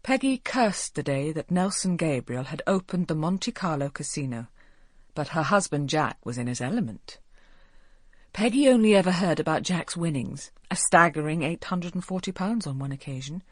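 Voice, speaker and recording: A woman's voice: low voice, expressive, moderate pace, no echo, studio quality. A woman reading scripted story narration as an audiobook narrator.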